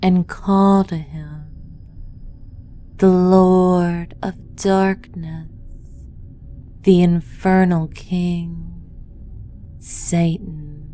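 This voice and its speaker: A woman leads a guided meditation with extremely slow, deliberate phrasing. Her voice is lowish and soft-edged, dark in tone. She delivers the script in a hushed, incantatory manner. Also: steady tone, faint music behind.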